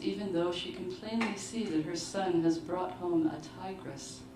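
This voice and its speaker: A woman giving a measured, deliberate literary reading of short fiction at a live book launch. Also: lowish voice. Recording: roomy, distant mic, clearly noisy live venue.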